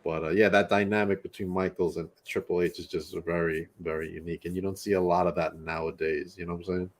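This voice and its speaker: A man's voice: sits low, flat delivery, fast talker. A man hosting a podcast interview in casual chat, sounding stuffed up and nasal from allergies, laughing often and repeating himself. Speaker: podcast host.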